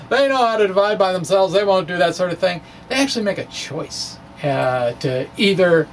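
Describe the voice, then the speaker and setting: A man giving an informal, halting reading of his science blog, with wry conversational asides. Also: high voice. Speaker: science blogger and presenter. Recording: distant mic with faint background noise.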